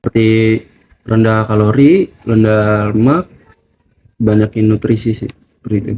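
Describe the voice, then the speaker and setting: A man's voice: lowish voice, steady tone, normal speed. A twenty-year-old man giving plain, short answers as a student interviewee. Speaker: student interviewee in a group discussion. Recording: muffled, noisy lo-fi recording.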